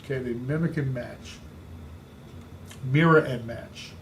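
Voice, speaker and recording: A man with a big booming voice coaching real estate agents, speaking emphatically and repeating his key points. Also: slow pace, slight background noise. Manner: emphatic, repetitive coaching delivery. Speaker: real estate sales trainer. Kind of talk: instructional podcast talk.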